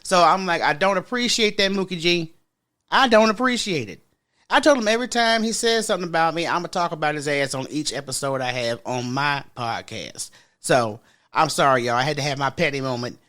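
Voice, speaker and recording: Deep-voiced woman podcast host. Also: a little fast, a bit bright. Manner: chatty, frank and sassy, talks straight to listeners. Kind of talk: casual solo podcast chat.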